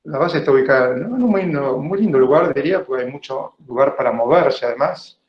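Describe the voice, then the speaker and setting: A man's voice: mid-range voice, lively intonation, fairly quick. A man in his fifties with an Argentine accent gives an informal illustrated lecture over a video call, speaking conversationally and often adding little check-in questions. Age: 50s. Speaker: biologist giving a talk. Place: indoor room, online video call. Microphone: video call microphone. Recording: roomy, dull online meeting audio.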